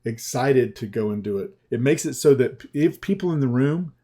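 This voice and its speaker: Southern US man, sales coach type, mellow unhurried webinar pitch with a folksy feel. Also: lively intonation. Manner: slow, folksy, conversational with restarts.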